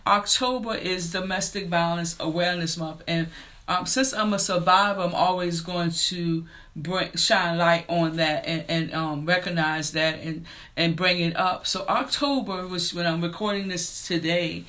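A woman in her fifties hosting a faith-based motivational talk show, earnest and preacher-like, building to excited emphasis. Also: on the low side, unhurried. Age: 50s. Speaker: podcast and radio show host.

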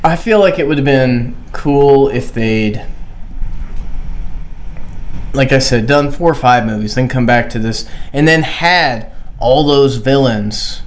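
A man's voice: medium pitch, a little slow, few pauses. A man co-hosting a podcast, chatting casually and informally about movies. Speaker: podcast co-host.

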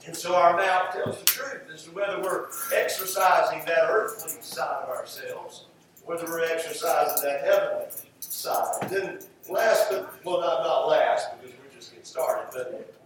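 A man delivers a sermon in a high-pitched voice that rings heavily around the room. He is picked up from a distance from the mic, with music in the recording. His preaching is emphatic, leaning on repeated phrases. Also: normal speed, a bit bright, slight background noise. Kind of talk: sermon with scripture teaching and prayer. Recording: roomy echoing hall, distant mic, music.

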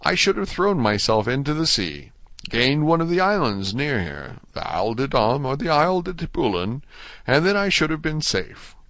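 A man voicing a mysterious older male prisoner in a storybook, subdued, measured and sorrowful. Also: a little high, very animated, a bit bright, no room sound, pitched above the performer's own voice.

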